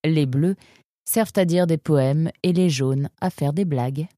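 A woman voicing a confident, proud female fox who explains things briskly in a children's audio story. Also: low voice, warm tone, no echo, studio quality. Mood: proud, explanatory.

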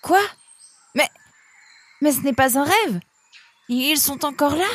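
A woman's voice: sits high, lively intonation, slow, dry recording, pitched above the performer's own voice. A woman voicing an easily flustered, indignant young male fox, exclaiming and complaining in a children's audio story. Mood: confused, flustered. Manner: whiny, exclamatory, hesitant when confused.

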